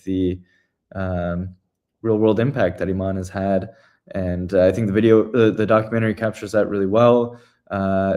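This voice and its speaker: A man's voice: lowish voice, darkish tone. A man co-presenting a community town hall in a casual, loosely phrased and hesitant way.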